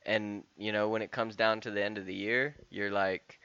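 A man's voice: on the low side, fairly even intonation, normal speed, sharp tone, smooth, dry recording, highs cut off. A man of about thirty talking casually and candidly in a rambling solo podcast monologue, often restarting and swearing. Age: 30s. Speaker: podcast host.

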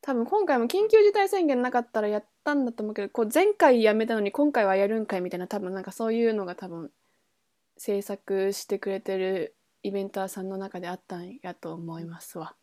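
A woman's voice: medium pitch, a little fast, warm tone, dry recording, clean studio sound. A woman co-hosting a podcast in casual, colloquial conversation. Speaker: podcast co-host, performing musician. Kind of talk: casual chat.

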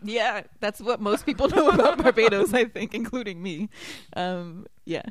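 A woman from New Jersey with an American accent speaks at a medium pitch in a very dry space. She chats informally, feeling her way through sentences in a hesitant, giggly manner. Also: normal speed, dry recording. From New Jersey, United States. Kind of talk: casual chat.

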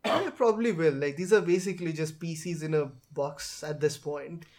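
A man moderating a casual tech podcast, speaking in hesitant, halting phrases and putting questions to co-hosts. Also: on the high side, darkish tone.

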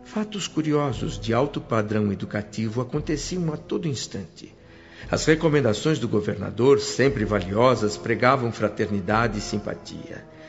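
A man narrating a radio drama in a solemn, measured way. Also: lowish voice, expressive, gravelly. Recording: dull lo-fi radio recording with music.

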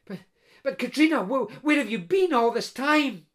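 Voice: high voice, lively intonation, moderate pace, voice pushed up. A storyteller voicing an aging, grey, scarred blacksmith who stammers and repeats words as he pleads with his lost love. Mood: pleading.

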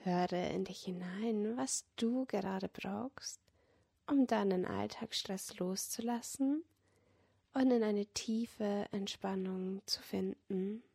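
A woman leading a guided yoga nidra relaxation as a meditation guide, speaking in a gentle, soothing manner that often drops close to a whisper. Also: mid-range voice, takes it slowly, gravelly, breathy edge. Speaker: meditation guide and podcast host.

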